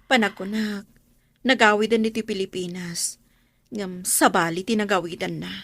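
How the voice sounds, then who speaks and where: A woman's voice: mid-range voice, steady tone, normal speed, fairly bright tone, dry recording. A woman playing a wronged, struggling mother in a radio drama, speaking with an Ilocano accent. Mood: hurt, resigned. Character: Marlyn, long-suffering wronged wife and struggling mother.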